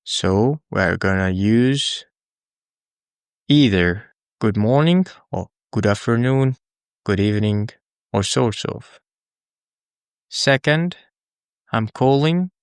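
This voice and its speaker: A man who is a native Arabic speaker, teaching English in a scripted, deliberate, instructional lesson style. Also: lowish voice, lively intonation, smooth, dry recording, clean studio sound. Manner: deliberate, instructional, with pauses for the learner. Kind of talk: scripted language lesson, repeat-after-me drills.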